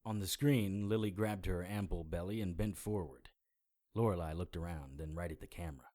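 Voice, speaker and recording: Man playing a deep, gruff noir private eye. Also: a little fast, dark tone, no echo, clean studio sound, close to an everyday voice. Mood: narrating. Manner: terse, gruff, world-weary narration and dialogue. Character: gruff, laconic hardboiled male private eye. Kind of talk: noir audio drama acting.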